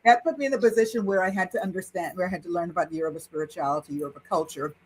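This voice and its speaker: A woman in her sixties, a priestess and interview guest, recounting her spiritual journey in an animated way with frequent laughter. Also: lowish voice, rapid-fire, breathy edge, low-quality recording. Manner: animated, laughs while telling a story. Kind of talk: personal storytelling in conversation.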